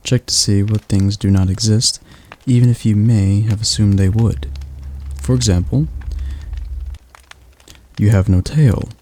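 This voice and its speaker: A man voicing a patient demon magic tutor in an ASMR roleplay, talking slowly and softly as he coaxes his student through a spell. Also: fairly low pitch. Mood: patient, coaxing. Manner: slow, soft, coaxing instruction.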